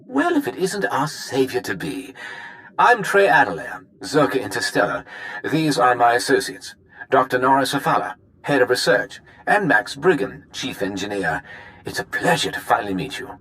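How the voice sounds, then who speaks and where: An actor playing a smug, slick sci-fi corporate executive in a video game, with a pompous, glib, teasing manner. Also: male voice, mid-range voice, big pitch swings, normal speed, fairly bright tone, rough recording. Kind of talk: video game cutscene acting.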